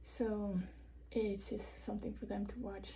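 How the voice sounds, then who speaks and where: A woman's voice: medium pitch, flat delivery, measured pace, gravelly, mellow. A woman in her sixties interviewing her husband for the family, hesitant, with many ums. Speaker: interviewer, vlogger. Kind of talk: casual home interview.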